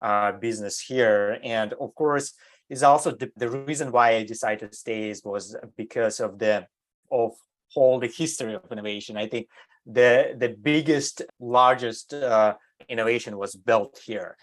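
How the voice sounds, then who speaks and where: A man raised in Germany talks through his answers at a medium pitch, his English halting and full of "uh" and self-corrections. He sounds like an interview guest explaining his work. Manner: hesitant non-native English, many fillers and restarts. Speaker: design entrepreneur, guest. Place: California.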